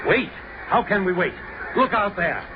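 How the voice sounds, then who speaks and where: A blustering skeptic in an old radio drama. His voice swoops up and down with emphatic, excitable outbursts. The recording is muffled and noisy. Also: sits high, unhurried. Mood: panicked. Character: blustering, disbelieving male skeptic, easily panicked. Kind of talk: 1950s radio drama acting.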